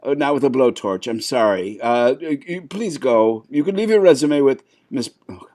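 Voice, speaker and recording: A man's voice: medium pitch, expressive, moderate pace, a little mellow, natural voice. A man playing a flustered, exasperated ice cream shop owner interviewing applicants. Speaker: improv player.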